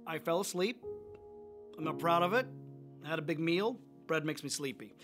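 A man's voice: on the high side, lively intonation, moderate pace, lightly acted. A man playing a remorseful fisherman disciple. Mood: wry. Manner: confessional, swings from wry to anguished outbursts. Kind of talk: first-person dramatic monologue. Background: instrumental music.

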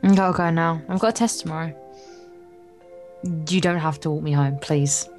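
A woman's voice: deep voice, normal speed, darkish tone. A woman reading visual novel lines aloud in a casual let's play, with sarcastic, irreverent asides. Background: game music.

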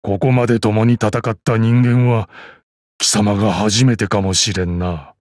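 An actor playing a proud, battle-hardened old martial arts master in a game, with gruff dignity, dry amusement and archaic old-man speech. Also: lowish voice, little pitch movement, a little slow, a little mellow, no room sound, close to an everyday voice. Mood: wry, fond. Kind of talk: Japanese mobile game character voice lines.

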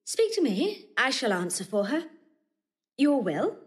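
Proud young countess. Slightly high, swooping delivery, arch wit, crisp commands, classical theatre style. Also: female voice, big pitch swings, unhurried. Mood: guarded, arch. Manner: imperious, arch, articulate. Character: proud, witty young countess, imperious and teasing. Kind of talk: classical stage acting of Shakespeare.

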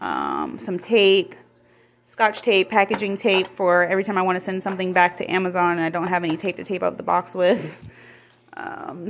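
A woman's voice: medium pitch, a little hiss or hum. Friendly woman chatting casually. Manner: relaxed, friendly, lots of "um" fillers. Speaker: vlogger showing a grocery haul. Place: home kitchen table indoors. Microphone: phone camera pointed at table.

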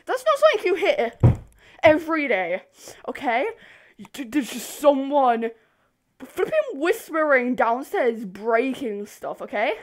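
A young woman telling a story casually to camera in an animated, rambling, giggly way, easily sidetracked. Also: sits high, a little slow. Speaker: video host. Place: bedroom.

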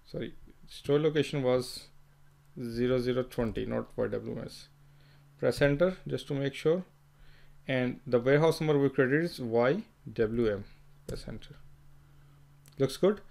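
Man explaining software step by step. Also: medium pitch, a little slow, vocal fry, long pauses. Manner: plain step-by-step explaining with fillers and self-corrections. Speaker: software trainer. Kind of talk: screen-recorded software tutorial.

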